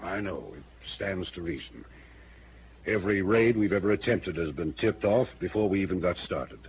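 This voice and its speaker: Through a hissy, phone-thin old radio recording comes a courteous small-town mayor. He speaks formally and earnestly, with a lively rise and fall and a touch of uneasy hesitation. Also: low-pitched, normal speed. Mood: uneasy, resigned. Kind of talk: 1950s radio drama acting. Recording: noisy, narrow-band old radio recording.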